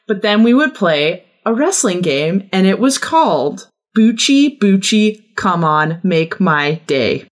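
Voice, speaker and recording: A woman's voice: medium pitch, very animated, slow pace, hardly any pauses. A woman guest on a podcast, telling casual comic anecdotes in a playful, mock-serious way.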